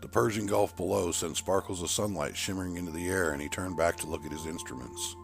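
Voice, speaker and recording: A man with a low, deep voice narrates a military action story. His delivery is scripted and dramatic, with measured, deliberate phrasing. Music plays behind him. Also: gravelly. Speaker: audiobook narrator.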